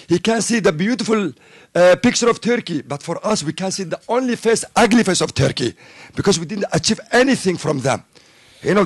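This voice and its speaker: A Kurdish-speaking man from Syria making impassioned remarks from the conference floor. His voice sits a little high and is heard dry and close, and he leans on "you know" as he presses his point. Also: no echo. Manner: fervent, peppers speech with "you know". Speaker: audience member.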